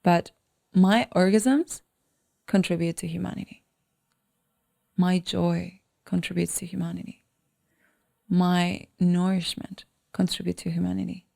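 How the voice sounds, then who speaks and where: A woman podcast host with a fairly low voice and plenty of vocal fry. She talks in a motivational, deliberate way, leaning on key words and repeating phrases to drive them home. She comes from the Dominican Republic. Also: steady tone, warm tone. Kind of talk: motivational solo podcast talk.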